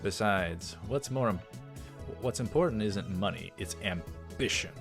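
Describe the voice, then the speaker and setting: A man's voice: on the low side, lively intonation, measured pace, creaky, music in the background. A man reading a visual novel aloud, voicing a grandiose young mad scientist in a pompous, theatrical manner. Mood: proud, declaiming.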